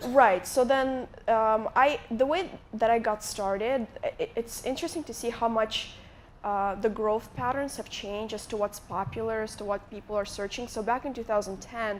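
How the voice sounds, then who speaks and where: A woman's voice: fairly high pitch, steady tone, brisk. Young woman from moldova chatting away onstage, lots of likes and restarts, bit of background hiss. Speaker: guest YouTuber. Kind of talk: casual onstage interview. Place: conference stage before a live audience.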